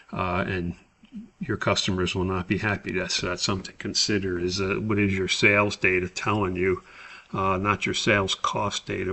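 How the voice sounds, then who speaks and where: A man giving a step-by-step spreadsheet tutorial as an instructor, explaining deliberately. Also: sits low, bright, forceful tone.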